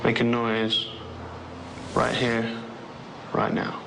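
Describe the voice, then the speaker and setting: Measured male investigator, a little hesitant, echoey room and noise behind. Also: mid-range voice, completely flat delivery, creaky. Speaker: paranormal investigator. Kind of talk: investigation and recap interview.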